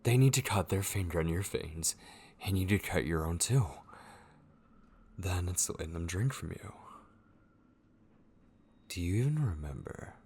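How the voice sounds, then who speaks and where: Casting: male actor as possessive, unhinged male captor for horror ASMR; gravelly, husky, taunting and devoted, unhurried delivery. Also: deep voice, expressive, darkish tone, exaggerated voice. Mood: mocking, menacing. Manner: slow, lingering, repeating words, menacingly tender. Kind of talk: ASMR horror roleplay acting.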